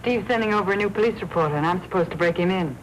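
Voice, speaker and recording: An American actress playing a self-possessed, dry-witted newspaper reporter in a 1940s crime film. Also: female voice, on the low side, close to an everyday voice. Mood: sardonic. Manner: dry, quick comebacks. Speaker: film actor. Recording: noisy old 1940s film soundtrack.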